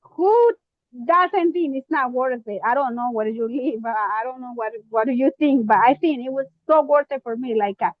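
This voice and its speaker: A woman from Mexico with a Mexican Spanish accent, a real estate investor talking casually on a video call, often pausing and searching for words. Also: sits high, lively intonation, narrow, tinny audio. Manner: halting, searching for words, many fillers. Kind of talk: casual online meeting chat.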